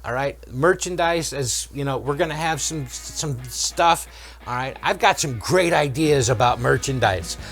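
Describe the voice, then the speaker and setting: A man's voice: medium pitch, lively intonation, measured pace, fairly bright tone, faint music behind. A man hosting a repair channel, giving an informal technical explanation step by step and often checking in with the viewer. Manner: explains step by step, checking in with okay and all right. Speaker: host of an electronics repair channel.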